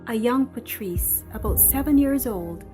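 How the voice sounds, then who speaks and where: A woman reading a screenplay as dramatic scripted narration, expressive and deliberate in her storytelling. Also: on the high side. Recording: music under the voice. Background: music.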